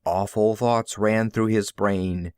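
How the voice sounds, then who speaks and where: A man's voice: on the low side, fairly even intonation, slow pace, darkish tone, smooth, dry recording, clean studio sound. A man reading classic fiction aloud as an audiobook narrator, in a theatrical, emphatic, dramatic style.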